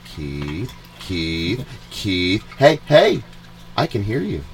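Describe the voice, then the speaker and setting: A man chatting casually as a podcast co-host, with a loud voice that keeps rising when he gets excited. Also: on the low side, drawn-out words. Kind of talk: casual chat.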